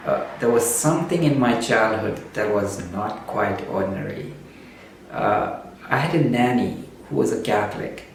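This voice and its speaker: A man whose first language is Urdu speaks at a middle pitch in a slow, measured way, often pausing to think. He is heard from a little way off the microphone, and his voice rings through a heavily echoing room with a faint hum of noise behind it. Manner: slow, measured, with frequent "uh" pauses. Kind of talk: reflective spoken essay. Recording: very echoey room, distant mic, faint background noise.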